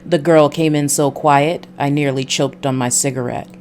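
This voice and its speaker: A woman's voice: deep voice, steady tone, moderate pace, darkish tone, a little hiss or hum, natural voice. A woman voicing a world-weary noir detective who narrates his story in a brooding, scripted style from a visual novel. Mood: wry, wary.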